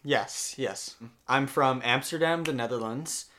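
A man playing a hesitant Dutch cold-therapy guide, a guest on a mock health podcast who keeps pausing with ums and uhs. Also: medium pitch, pushed and bright, a little hiss or hum. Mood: earnest.

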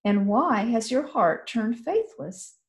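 A woman voicing a powerful ranger commander who gives calm, measured orders. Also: fairly high pitch, voice pushed up. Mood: grave. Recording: roomy echo.

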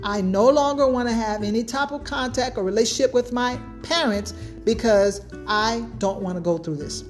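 High-voiced woman hosting a talk podcast. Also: normal speed, faint music behind. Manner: repetitive, emphatic, starts points with "now" and tags "right?". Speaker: podcast host.

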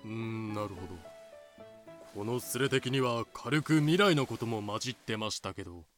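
Guy playing meek grumbly slave, hesitant n wry, mid pitch, bit of music behind, audio drama. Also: normal speed, fairly bright tone, lightly acted. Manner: hesitant, wry complaining retorts. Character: timid, grumbling everyman male slave for sale. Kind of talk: fan-made voice drama acting.

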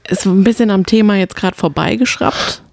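A woman's voice: medium pitch, moderate pace, gravelly, darkish tone, no echo, clean studio sound. A woman chatting casually as a podcast co-host.

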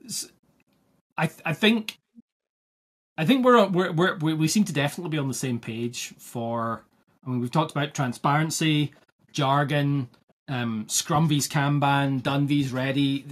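A man's voice: a little high, measured pace. A man from Scotland with a Scottish accent, co-hosting a podcast in casual discussion, thoughtful and often restarting as he searches for the right word. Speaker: podcast co-host.

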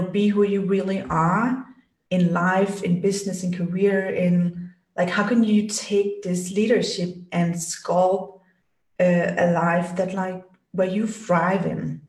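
A woman from Denmark talks slowly and haltingly, full of ums, likes and restarts, her voice a touch low for a woman. She sits a little back from the mic in an echoey room. She sounds like a guest on a casual interview show. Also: flat delivery, a little mellow, far from the mic. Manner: hesitant, many fillers and restarts. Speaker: podcast guest, business strategist.

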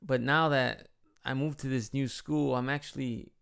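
Thirties man from Chicago, Midwest accent with a slight Mexican tinge, loose rambling vlog delivery, gravelly. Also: mid-range voice, steady tone, moderate pace, a bit bright. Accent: Midwest American with a slight Mexican tinge. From Chicago, United States. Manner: rambling, chatty, frequent restarts. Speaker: vlogger living in Japan. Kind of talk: casual personal vlog.

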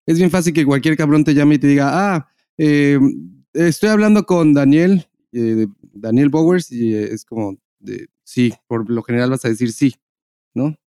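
A man's voice: fairly high pitch, normal speed, mellow, clear, resonant tone, no echo, clean studio sound. A Mexican man hosting a podcast, chatting casually and informally with slangy wording. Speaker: podcast host. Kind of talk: casual conversational chat.

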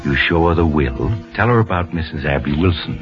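A man's voice: very deep voice, animated, brisk. A man playing a sinister, knowing storyteller, narrating old-time radio suspense with dramatic, insinuating emphasis. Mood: ominous, knowing. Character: sinister, knowing male storyteller addressing the culprit. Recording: muffled old radio recording. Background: loud dramatic music.

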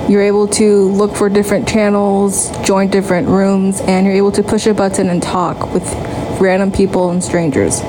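A woman speaks as a podcast host giving a solo review. Her voice sits at a medium pitch, and she talks plainly in short phrases with pauses between them. A clearly audible steady noise runs behind her. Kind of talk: solo spoken app review. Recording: clearly noisy, steady background hum.

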